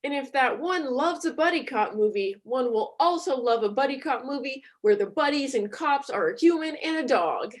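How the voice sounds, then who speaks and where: A woman's voice: high voice, lively intonation, moderate pace, hardly any pauses. A woman narrating a comedy in a grand, tongue-in-cheek mock-epic style.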